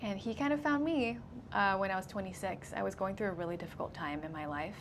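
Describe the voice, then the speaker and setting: A woman's voice: mid-range voice, background noise. Earnest woman sharing her testimony. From grew up in Singapore. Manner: earnest, measured, laughs easily. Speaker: guest.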